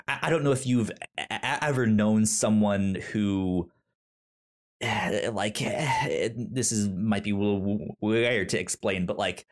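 A podcast co-host speaks in a casual, conversational way about movies. The pace is slow, and the delivery is earnest and emphatic, with frequent stammers and repeated syllables. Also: medium pitch. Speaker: podcast co-host discussing films. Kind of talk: casual conversational film discussion.

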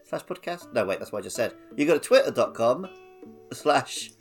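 Male co-host, casual gaming chat, lively intonation, ordinary middle register, music behind. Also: mid-range voice, moderate pace. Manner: informal, conversational. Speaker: podcast co-host. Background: faint music.

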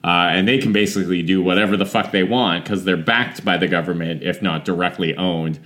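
A man co-hosting a podcast, sarcastic and quick to swear. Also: deep voice, steady tone, a bit bright. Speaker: podcast co-host. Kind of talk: casual podcast chat.

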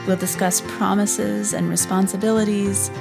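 A woman's voice: mid-range voice, steady tone, normal speed, vocal fry, music in the background. A woman, reading as a podcast host in a scripted style. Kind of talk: scripted narration, reading prayer requests.

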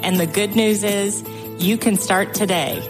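A woman's voice: medium pitch, fairly even intonation, a little slow. A woman reading a scripted promotional podcast introduction, addressing the listener directly. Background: music.

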